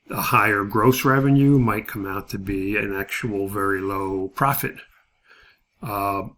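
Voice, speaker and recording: A man with a lowish voice and a very flat delivery explains coursework like a college instructor. He is methodical and matter-of-fact. There is faint-to-moderate noise behind him. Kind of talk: informal lecture explaining assignment steps.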